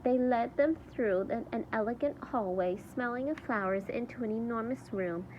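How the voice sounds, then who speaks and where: Higher-voiced woman reading a storybook, noisy recording. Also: moderate pace, dull audio. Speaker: teacher reading a children's book aloud. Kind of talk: read-aloud storybook narration with character voices.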